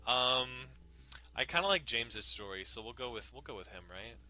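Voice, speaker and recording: A man's voice: mid-range voice, animated, normal speed, sharp tone. A man giving a dramatic reading of a visual novel as its narrator. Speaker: dramatic reader.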